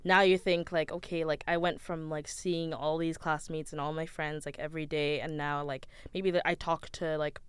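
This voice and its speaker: Low-voiced young woman, very clear and resonant. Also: level tone, no room sound. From Ethiopia. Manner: measured, with small hesitations and "um". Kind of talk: casual group chat.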